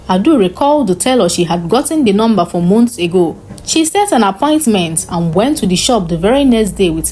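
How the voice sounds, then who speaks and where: A woman narrating a scripted folktale in an expressive, dramatic storytelling style. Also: mid-range voice.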